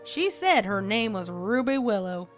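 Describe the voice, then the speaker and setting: A woman voicing a deadpan cowgirl pony who tells a spooky tale in a folksy, dramatic way for a fan fiction audio story. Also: mid-range voice, measured pace. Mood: folksy, animated storytelling. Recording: background music under the voice.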